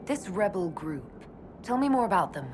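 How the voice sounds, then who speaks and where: A woman's voice: medium pitch, very animated, normal speed, long reverb tail, background noise, lightly acted. A woman playing a composed, inquisitive elf mage leader in a video game, speaking directly and mostly asking questions. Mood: businesslike. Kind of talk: dramatic video game dialogue.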